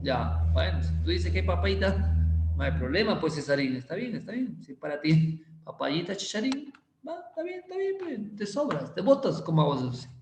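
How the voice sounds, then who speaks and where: A male chemistry teacher, giving a casual, playful class and teasing students as he slowly repeats quiz questions. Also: high-pitched, very animated, background noise. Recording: roomy echo.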